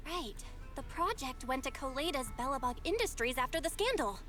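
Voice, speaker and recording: A woman's voice: sits high, animated, brisk, a bit bright. A woman voicing a brash, scheming young mercenary leader in an anime-style video game, boastful and teasing with theatrical delivery. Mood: sly, confident. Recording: music behind the voice. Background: background music.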